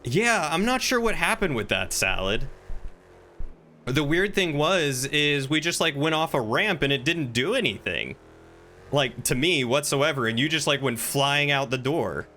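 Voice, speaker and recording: A man's voice: on the high side, animated, unhurried, pushed and bright, slight background noise. A man chatting casually in character while streaming a crime roleplay game.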